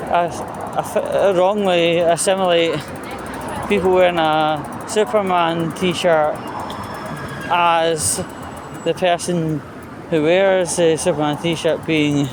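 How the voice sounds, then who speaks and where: A Scottish man giving a blunt, sweary, aggrieved monologue in halting phrases, like a casual vlogger's rant. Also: fairly high pitch, fairly even intonation. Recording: clear traffic and outdoor noise.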